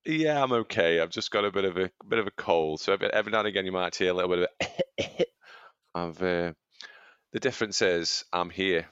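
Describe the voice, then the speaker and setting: A man co-hosting a running podcast, his voice husky from a cold, chatting casually and laughing often at himself. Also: sits low, fairly quick, a bit bright, no echo, dull audio. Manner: laughs often, self-mocking. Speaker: podcast co-host, aspiring runner. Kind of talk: casual chat. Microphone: studio microphone.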